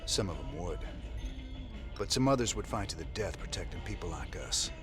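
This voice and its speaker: A weary rebel commander in a video game, loyal and wry. He talks low and understated in folksy phrases, with music behind. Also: animated, moderate pace. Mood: earnest, guarded.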